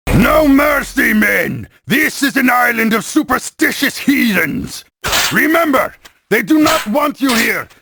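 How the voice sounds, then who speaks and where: Shouting, gravelly brute commander. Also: male voice, very high-pitched, big pitch swings, unhurried, no echo, clean studio sound, exaggerated voice. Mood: fierce, haranguing. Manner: barking, haranguing. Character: brutal, paranoid knight commander rallying troops. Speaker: video game actor. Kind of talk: scripted game cutscene speech.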